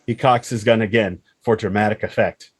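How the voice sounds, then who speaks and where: A man with a husky voice reading dramatic screenplay stage directions as narrator. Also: on the low side, fairly even intonation, moderate pace.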